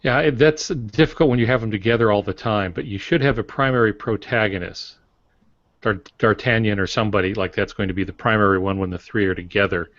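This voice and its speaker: A man co-hosting a writing podcast, speaking in a relaxed, thoughtful way with frequent pauses and fillers. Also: lowish voice, no echo. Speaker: podcast co-host, author. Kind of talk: casual podcast chat. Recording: dull lo-fi audio.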